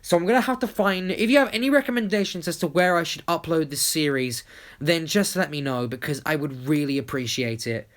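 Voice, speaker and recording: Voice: medium pitch, a little fast. A young adult video creator chatting casually and explaining things to viewers.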